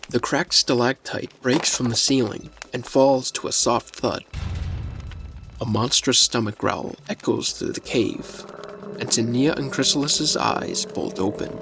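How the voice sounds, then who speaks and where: A man narrates a scripted audio story in a measured, descriptive way. His voice is of middling pitch, and music plays clearly behind him. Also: expressive.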